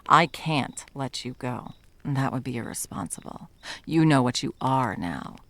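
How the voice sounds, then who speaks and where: Woman acting as a stern female monster hunter, gravelly fry, commanding, condescending endearments, whispery dips, ASMR roleplay. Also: extremely low pitch, expressive, unhurried, fairly bright tone, no echo, full character voice. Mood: grave, conflicted. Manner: commanding, condescending, with pet names. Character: stern female monster hunter, condescending yet tender to her captive lover.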